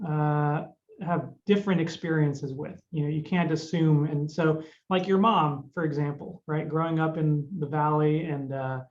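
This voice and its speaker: Thoughtful man on muffled video call. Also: fairly high pitch. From Peru. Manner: thoughtful, hesitant, frequent restarts. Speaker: judge. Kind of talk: webinar panel conversation.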